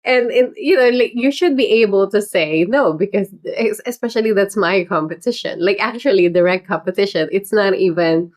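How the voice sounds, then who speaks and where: A woman's voice: mid-range voice, a little fast, hardly any pauses, a little mellow. A middle-aged woman hosting a casual livestream as a political candidate, talking in a chatty, rambling way with lots of fillers and little laughs. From Philippines heritage.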